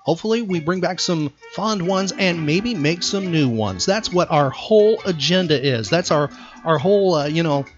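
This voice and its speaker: A man with a slightly high voice hosts a casual trivia show. He is upbeat and playful with his partner. The sound is muffled and lo-fi, with music behind him. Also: animated, normal speed. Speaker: radio show host. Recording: muffled lo-fi audio.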